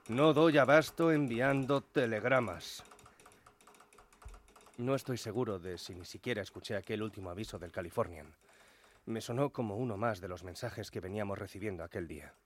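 An actor playing a weary, overworked ship's telegraphist recounting events in a radio drama monologue. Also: male voice, on the low side, natural voice. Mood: irritated. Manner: regretful, dramatic storytelling. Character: weary, overworked ship's telegraphist recalling his fateful night.

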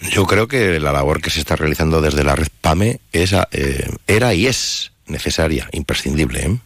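A man hosting a local radio show, leading an interview and the links with a lively, repetitive, joking manner. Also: rumbling low, moderate pace, no room sound, studio quality. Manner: lively, repeats phrases, jokey asides. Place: radio studio.

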